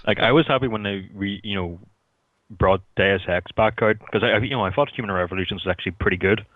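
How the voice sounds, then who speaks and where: A man's voice: on the low side, quick pace, no echo. A man chatting casually and hesitantly as a podcast guest, rambling over a thin voice call. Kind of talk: casual chat. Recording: thin phone-like call audio.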